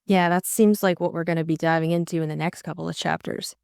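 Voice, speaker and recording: Young woman on a casual book chat, lowish voice, explains stuff thoughtfully. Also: steady tone, fast talker, creaky, darkish tone, clean tone, dry recording, clean studio sound. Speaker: audiobook co-host and narrator.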